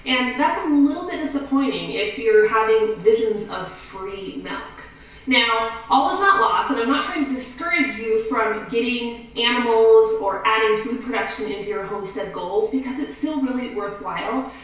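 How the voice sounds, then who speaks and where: A woman's voice: fairly high pitch, moderate pace, fairly bright tone. A woman homesteader talking candidly to camera about her own farm life. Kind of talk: casual talk to camera. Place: living room with leather couch. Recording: roomy, from a distance.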